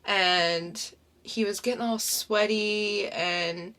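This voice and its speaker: A young woman co-hosting a casual comic book podcast, summing up a story in a chatty, unhurried way. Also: medium pitch, fairly even intonation, pushed and bright. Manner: unhurried, chatty, summarizing plots. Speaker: podcast co-host. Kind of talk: casual comic review chat.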